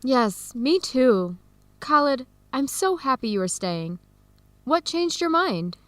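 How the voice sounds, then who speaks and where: An actress playing a warm-hearted young female student, speaking gently and clearly in a radio drama for English learners. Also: high voice, sing-song, slow pace, no echo, exaggerated voice. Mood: glad, supportive. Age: young adult.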